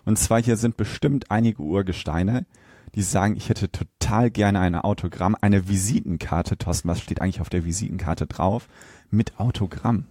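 A man co-hosts a podcast in a fairly low, mellow voice. He banters informally and often cuts in with questions. The recording is very dry and close. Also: normal speed, no echo. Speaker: podcast co-host.

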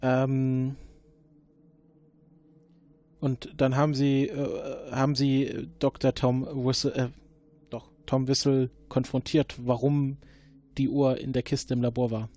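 A man's voice: mid-range voice, little pitch movement, slow pace, long pauses, warm tone, natural voice. A man doing a dryly sarcastic agent interrogating. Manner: probing, dryly sarcastic questioning. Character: probing male time-travel agent, adult, sardonic interrogator. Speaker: time-travel agent investigating a case.

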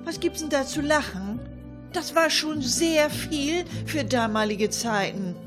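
A woman's voice: high voice, animated, unhurried, music in the background. A woman playing a chatty older lady in a comic monologue, rambling colloquially and addressing her listeners with mock indignation. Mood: chatty, explaining.

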